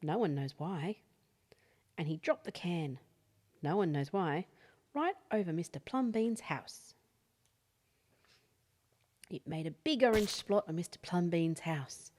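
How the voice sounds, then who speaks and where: A woman reading a picture book aloud as an expressive children's storyteller. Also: fairly low pitch, slow pace, pauses often. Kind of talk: expressive read-aloud bedtime story narration.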